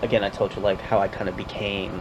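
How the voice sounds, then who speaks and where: A man interviewing a singer, asking quick, hesitant questions full of "um"s and "you know"s. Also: fairly low pitch, little pitch movement, background noise. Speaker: journalist interviewer.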